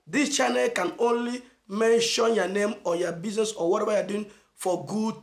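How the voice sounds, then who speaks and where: A Nigerian man giving an emphatic motivational message as a channel host, asking rhetorical questions and stressing phrases slowly. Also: sits high, roomy sound. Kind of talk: motivational preaching to camera.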